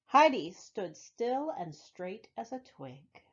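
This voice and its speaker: A woman reads a children's story with very lively intonation and a husky edge to her voice. She phrases things deliberately and expressively for little listeners. The audio is a bit dull. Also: mid-range voice. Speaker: library storytime reader. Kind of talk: children's picture-book read-aloud.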